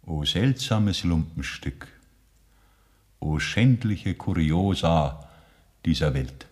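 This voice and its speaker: A mock-solemn storyteller in an old radio play. His voice is fairly low and slow, with measured, declamatory indignation. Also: animated, a little mellow. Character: mock-solemn ballad narrator lamenting scandal. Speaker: narrator.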